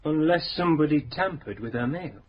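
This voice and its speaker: An actor playing a chatty young detective sergeant in a radio crime drama. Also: male voice, on the high side, a little fast, slightly rough. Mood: keen. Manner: chatty, lively and informal. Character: young detective sergeant, plain-spoken and restless. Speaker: sergeant to the inspector. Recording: slightly dull radio recording, faint hiss.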